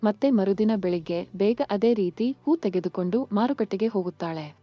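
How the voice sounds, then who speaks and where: A woman's voice: medium pitch, moderate pace, no room sound. A woman reading scripted narration for a children's moral story. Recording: muffled lo-fi sound. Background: faint music.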